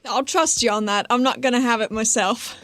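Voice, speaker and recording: A woman's voice: fairly high pitch, fairly even intonation, brisk, a bit bright. A woman bantering casually as a player in a tabletop game, with a wry way of talking.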